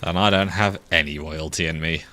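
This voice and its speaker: A man's voice: a bass voice, steady tone, a little fast, sharp tone. A young man, chatting casually while streaming a game, laid-back and swearing now and then. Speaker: VTuber streamer. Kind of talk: casual gameplay chat with chat. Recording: game sound noise behind voice.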